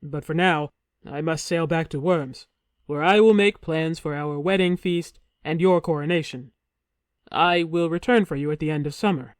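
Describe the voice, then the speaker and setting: Narrator doing a disguised young warrior, awkward, forced cheer, slightly loud, dry. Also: mid-range voice, moderate pace, no room sound, close to an everyday voice. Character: young warrior man posing as a king, uneasy. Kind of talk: audiobook character voice.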